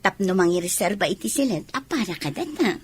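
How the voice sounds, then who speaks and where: A woman's voice: medium pitch, animated, a little fast, a little hiss or hum, natural voice. An actress with an Ilocano accent playing an outgoing, inviting village landlady in a radio drama. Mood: casual, coaxing.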